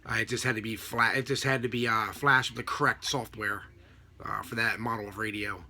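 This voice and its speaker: A middle-aged American man giving a casual, unscripted explanation to camera in a halting, rambling way. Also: on the low side, fairly even intonation, sharp tone. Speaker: vlogger, radio scanner hobbyist. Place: small home room with a desk, indoors. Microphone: computer webcam at desk distance.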